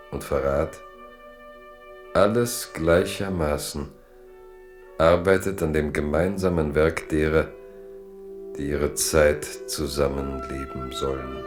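An actor as a grave, all-knowing storyteller, giving measured, reflective literary narration in a historical radio play. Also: male voice, a bass voice, soft background music.

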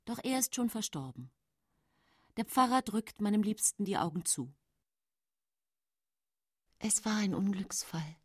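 An actress playing a calculating, self-pitying poisoner widow who narrates her own tale in a radio drama, with a husky voice. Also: medium pitch, big pitch swings, a little slow, pauses often, no room sound, clean studio sound. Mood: urgent, self-excusing.

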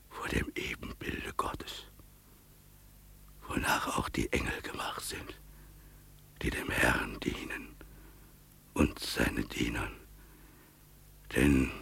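An actor playing a devout father in a radio play, praising God's creation in solemn, exalted declamation with drawn-out emphatic phrases. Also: very deep voice, vocal fry, fairly bright tone, breathy edge, exaggerated voice. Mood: hushed reverence.

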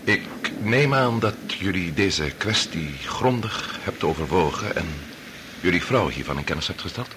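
An actor playing an authoritative older doctor and father, measured and formal, with a Dutch accent in a radio drama. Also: deep voice, expressive. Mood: formal, resolute. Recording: noisy old radio drama recording.

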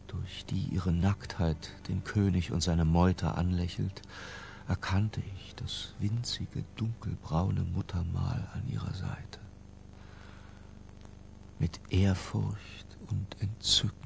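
An actor playing an obsessive, lyrical middle-aged intellectual who confesses in a hushed, deliberate literary radio drama narration. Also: male voice, sits low, gravelly, slight background noise, heavily acted. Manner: hushed, deliberate, breaking into near-whispers.

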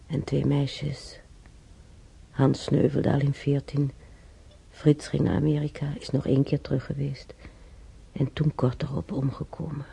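An older woman acting in a radio drama as a frail, bedridden, wistful but plucky old woman, musing haltingly to herself in a husky, breathy voice. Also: rumbling low, mellow, exaggerated voice.

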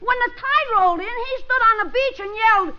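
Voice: very high-pitched, fairly quick. An actor playing a brash, wisecracking young grocery boy in a 1950s radio comedy, spinning sarcastic praise toward punchlines. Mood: mock-admiring. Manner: brash, mock-admiring, punchline delivery. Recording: muffled vintage radio, studio audience, hiss.

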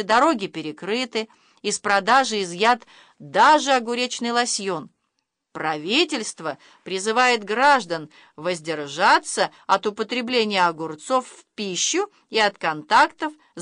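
A woman reading a storybook news bulletin as a slow, formal TV announcer. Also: mid-range voice, a bit bright, dry recording, clean studio sound.

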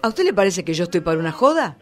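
An actress playing a furious, determined daughter with an Argentine accent, confrontational and sharp-tongued in a comic radio play. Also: female voice, lowish voice, sing-song, rapid-fire. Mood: disgusted. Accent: Argentine, Rioplatense. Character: furious, determined, scornful daughter of a cheated gambler. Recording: music under the dialogue.

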